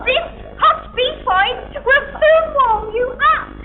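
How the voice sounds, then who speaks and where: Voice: very high voice, wildly swooping pitch, takes it slowly, roomy sound, a faint crowd, full character voice. A performer playing a fussy, vain British housewife turned advert celebrity, with an affected, gushing delivery in a comedy. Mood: bright, sing-song. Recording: tinny band-limited audio with music.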